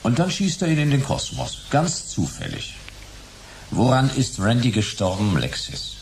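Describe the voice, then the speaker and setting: An actor playing a terse, suspicious private detective in a radio drama, dry and probing in manner. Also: male voice, medium pitch, wildly swooping pitch, a little slow, gravelly, background noise, heavily acted.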